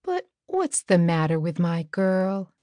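A woman reading a storybook and voicing a sleepy, gentle mother who reassures her child. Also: on the low side, very animated, no echo, clean studio sound. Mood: drowsy. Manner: slow, soft, drowsy reassurance.

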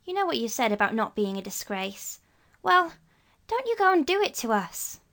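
A woman's voice: on the high side, very animated, moderate pace, fairly bright tone, pitched above the performer's own voice. A woman voicing an earnest young boy who has been caught out and tries to be brave, in a storybook reading. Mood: pleading. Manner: pleading, earnest.

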